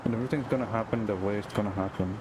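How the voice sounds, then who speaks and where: A woman's voice: extremely low pitch, racing, background noise. A woman streaming a game, giving casual, informal short reactions and repeating what she hears. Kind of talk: casual live gameplay reactions.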